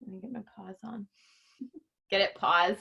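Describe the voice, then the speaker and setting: A woman's voice: medium pitch, unhurried, gravelly, sharp tone, breathy edge, muffled recording. A woman hosting a live read-along, chatting casually and playfully and reading a storybook aloud.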